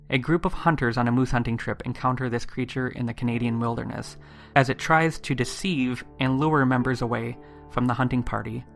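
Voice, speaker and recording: A man with a gravelly voice of medium pitch narrates as a podcast host. His scripted delivery is measured and suspenseful, made for eerie tales, with faint music behind. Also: a bit bright.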